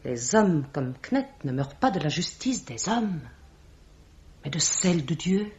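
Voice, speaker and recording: A mystical bookseller woman with a fairly low voice. She speaks with solemn, theatrical menace and lofty contempt, in the manner of a 1950s French radio mystery. The recording is dull and old-fashioned. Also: sing-song, unhurried, a little mellow. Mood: haughty, defensive. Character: haughty mystical bookseller woman, accusing and ominous.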